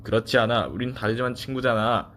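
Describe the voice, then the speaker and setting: A man voices a clever rabbit in a children's story. The voice is of middle pitch, sensible and fair, soothing and reasoning with others. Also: fast talker, a bit bright, lightly acted.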